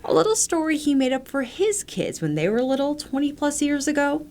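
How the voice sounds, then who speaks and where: Casting: American woman, TV news reporter, scripted narration, very animated intonation. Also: high-pitched, fairly quick.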